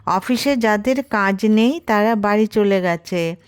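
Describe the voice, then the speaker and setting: A woman reading a short story as scripted narration, expressive and gently wry. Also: medium pitch, measured pace, smooth.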